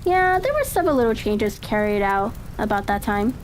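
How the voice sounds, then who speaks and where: A woman's voice: medium pitch, sing-song, moderate pace, slight background noise, natural voice, rough recording. A woman reading the part of a polite, puzzled young Victorian lady in a storybook, giving helpful, plain answers.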